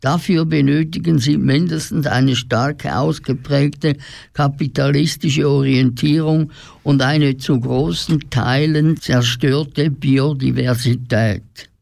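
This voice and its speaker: Gravelly male storyteller, dry sound. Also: mid-range voice, steady tone, slow, a little mellow, no room sound. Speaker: narrator of a radio play. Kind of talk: scripted fairy-tale storytelling.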